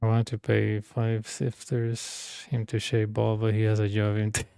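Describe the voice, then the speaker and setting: Low-pitched man chatting casually on stream. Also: monotone, unhurried, creaky, mellow, clear, resonant tone, no room sound. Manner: informal, slangy banter, switching between Spanish and English. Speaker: live streamer. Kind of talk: casual gaming stream chat.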